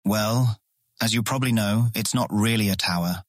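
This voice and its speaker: An actor playing an earnest, awkward and easily charmed guild guard in a fantasy audio drama, eager to please. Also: male voice, on the low side, normal speed, no echo, clean studio sound, lightly acted. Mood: explanatory.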